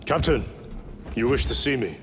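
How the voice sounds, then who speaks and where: A man's voice: medium pitch, expressive, normal speed, background noise, natural voice. An actor playing a formal, respectful male warrior sergeant in a game.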